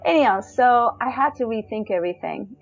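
A woman in her thirties with a naturally high voice looks back on her life, talking in a loose, chatty way with plenty of fillers and restarts. The audio is dull and lo-fi, with faint music underneath. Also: animated, brisk, vocal fry. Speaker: singer telling her life story. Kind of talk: personal storytelling.

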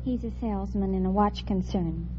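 A woman's voice: medium pitch, normal speed. A woman from Brooklyn with an American accent, chatting as a contestant on an old radio quiz show. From Brooklyn, New York. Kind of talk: chatty interview answers on radio. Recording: muffled old radio recording, loud audience noise.

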